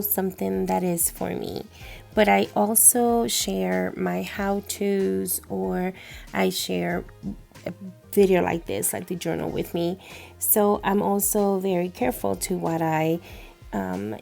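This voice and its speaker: A Puerto Rican woman in her thirties talks slowly and gently, with a Spanish-speaker's accent and a mellow, low, gravelly tone. Her voice-over is calm and reflective, with soft fillers as she walks through her journal. Light music plays under her. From Puerto Rico. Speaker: journaling vlogger.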